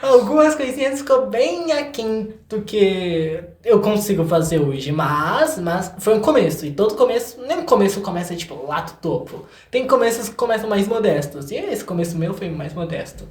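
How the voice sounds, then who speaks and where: A man's voice: high-pitched, expressive, normal speed, hardly any pauses. A young Brazilian man chatting casually and playfully as an interview guest, with an informal, self-deprecating way of talking. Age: young adult. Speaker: singer-songwriter guest. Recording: echoey, roomy sound.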